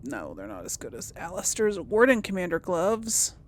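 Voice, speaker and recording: A woman's voice: on the low side, very animated, moderate pace. A woman giving casual, rambling commentary as she plays a video game, thinking aloud to her viewers. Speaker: gamer hosting a role-play let's play.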